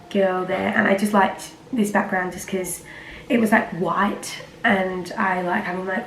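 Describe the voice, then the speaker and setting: A teenage girl chats to camera in a loose, rambling way, leaning on filler words. Her voice is a little croaky from a cold and sits at a middling pitch. The room around her is echoey, with a faint hiss of ambient noise behind. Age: teens. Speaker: vlogger. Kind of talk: casual chat to camera.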